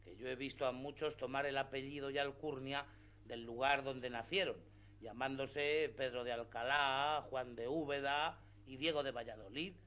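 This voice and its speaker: An actor playing a simple, eager, credulous peasant squire in a radio play, talking in an earnest, rambling way. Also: male voice, mid-range voice, fairly even intonation, moderate pace, fairly bright tone, natural voice, rough recording. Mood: convinced, naive. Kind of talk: radio theatre acting of a classic novel.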